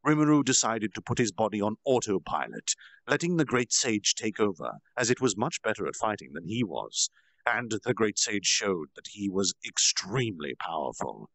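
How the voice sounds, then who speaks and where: A man's voice: fairly low pitch, very animated, normal speed, gravelly, fairly bright tone, no echo. A man casually recapping a fantasy novel as a storyteller, dramatic with asides and self-corrections. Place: virtual reality social space.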